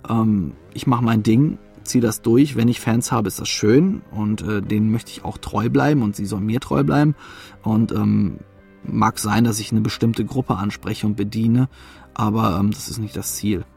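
A man's voice: lowish voice, normal speed, gravelly, darkish tone, faint music behind. A man speaking as an interview guest, talking off the cuff and searching for words. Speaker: audio drama producer, interview guest. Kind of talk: unscripted interview talk.

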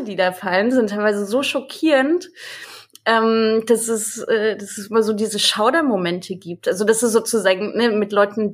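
A woman from Dresden in eastern Germany co-hosting a podcast, chatting casually with hesitant, halting phrasing. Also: fairly high pitch, slightly rough. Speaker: podcast co-host, journalist. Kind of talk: casual chat.

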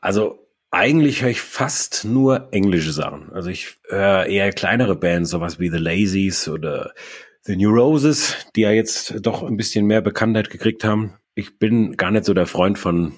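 A man's voice: sits low, moderate pace. A man from Germany, a band musician, answering interview questions in an easygoing way and laughing readily. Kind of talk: casual interview chat.

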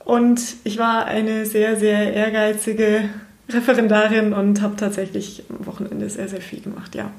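A woman's voice: medium pitch, little pitch movement, normal speed. A young woman from Bavaria, a school teacher, answering interview questions in a frank, chatty way and laughing easily. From Bavaria, Germany. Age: young adult. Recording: roomy echo.

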